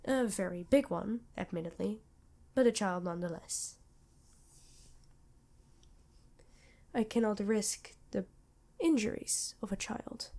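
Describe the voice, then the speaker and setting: A woman playing an ancient, motherly vampire queen in an ASMR roleplay, speaking formally and tenderly in measured phrases with pauses. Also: mid-range voice, lively intonation, gravelly, dark tone. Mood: fond, reluctant. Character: centuries-old vampire queen, motherly, regal, protective and worried.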